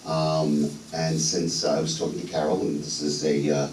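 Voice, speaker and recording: A middle-aged man from New York giving an unscripted personal testimony as a guest speaker, halting with many ums and restarts. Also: very deep voice, monotone, darkish tone, far from the mic, slight background noise. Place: church sanctuary. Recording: echoey church hall, voice from afar.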